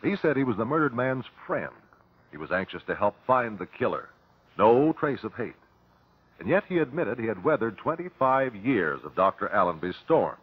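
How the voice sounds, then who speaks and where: An actor playing a shrewd, relentless detective at an inquest, measured and authoritative, in vintage radio drama style. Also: male voice, medium pitch, sing-song, slightly rough, no echo. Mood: reflective, wry. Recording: dull vintage radio broadcast recording.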